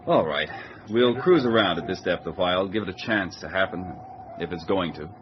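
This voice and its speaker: Gruff, sardonic submarine captain, swinging delivery. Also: male voice, low voice, lively intonation, moderate pace. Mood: commanding. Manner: sarcastic, exasperated, commanding. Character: gruff, sardonic, short-tempered male navy submarine captain. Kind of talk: radio drama acting. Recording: dull lo-fi radio recording with hiss.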